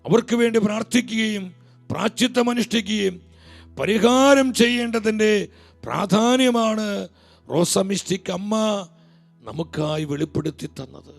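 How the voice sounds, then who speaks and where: Impassioned gravelly-voiced male preacher. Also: normal speed, pauses often, faint music behind. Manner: impassioned, swings from loud declaiming to low pleading.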